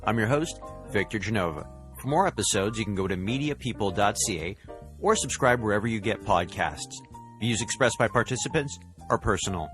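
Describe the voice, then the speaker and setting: A man speaks as a podcast host and interviewer. His voice sits somewhat low, with light music in the background. The delivery is that of a presenter introducing and questioning a guest. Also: expressive, brisk, a bit bright.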